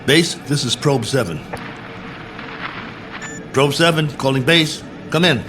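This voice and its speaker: An actor playing a lonely, bored astronaut colonel in a radio drama, speaking in a wry, musing, self-mocking manner. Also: male voice, mid-range voice, slow, over music, lightly acted. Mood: urgent, alarmed. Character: lonely, bored male astronaut colonel, lone probe pilot. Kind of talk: radio drama acting, spoken log entries.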